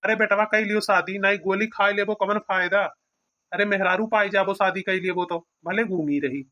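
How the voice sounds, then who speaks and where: A woman's voice: mid-range voice, steady tone, moderate pace, fairly bright tone, close to an everyday voice. A woman voicing a meek, pragmatic village priest with an Awadhi accent in a cartoon comedy. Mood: pleading. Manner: pleading, advising.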